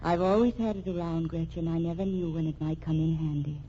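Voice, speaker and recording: An actress playing a cunning married murderess in a mystery radio drama, with theatrical, emphatic delivery. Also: female voice, sits low, fairly even intonation, brisk, warm tone, close to an everyday voice. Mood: coldly menacing. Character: cunning married murderess, indignant then coolly menacing. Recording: muffled, noisy old radio drama recording.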